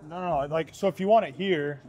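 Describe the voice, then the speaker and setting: A man's voice: on the high side, quick pace, darkish tone. A young man insistently explaining and bantering while helping set up equipment in a large indoor gym, picked up by a nearby camera. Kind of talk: casual chat while assembling gym equipment.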